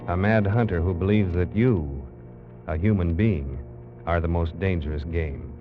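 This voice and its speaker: Deep, ominous 1940s radio announcer man. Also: unhurried. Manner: ominous, theatrical delivery. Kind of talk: dramatic 1940s radio narration. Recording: dull old 1940s radio recording, music.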